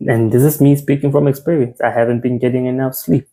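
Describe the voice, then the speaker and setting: South African man, mellow health talk. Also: mid-range voice, steady tone, fast talker. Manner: conversational, repeats phrases and restarts words. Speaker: men's health presenter. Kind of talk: informal health talk, mixing in isiZulu words.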